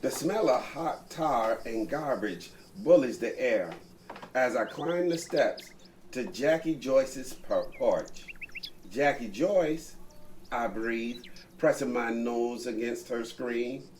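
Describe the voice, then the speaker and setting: A man reading a children's picture book aloud, taking turns with a co-reader, slowly and expressively. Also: medium pitch, expressive, darkish tone, slight background noise. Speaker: co-reader of the story. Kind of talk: bedtime story reading.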